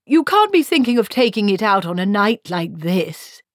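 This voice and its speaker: A woman's voice: on the low side, expressive, a little slow, a little mellow, husky, no room sound, exaggerated voice. A grumbling male wizard, played by a woman reading aloud in a storybook. He is reluctant and miserable, protesting with fussy, formal politeness. The recording is dry and close.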